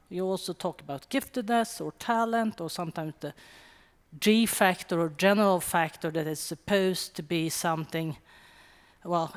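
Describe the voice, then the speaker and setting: A middle-aged woman from Sweden with a Swedish accent, giving a wry, conversational conference talk with quick asides. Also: lowish voice. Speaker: conference speaker. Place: conference hall. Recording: long hall reverb.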